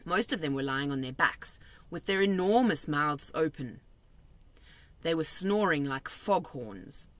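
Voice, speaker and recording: Bright, lively woman reading a storybook. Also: low voice, unhurried, no echo. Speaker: storybook reader. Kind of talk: expressive children's story narration, read aloud.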